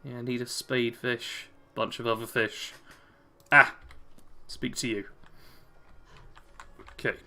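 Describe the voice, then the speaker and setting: Bright-voiced British man streaming a game. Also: medium pitch, level tone, takes it slowly, creaky, pauses often. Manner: chatty, chuckles often, answers chat. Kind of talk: casual live-stream commentary, reading chat aloud.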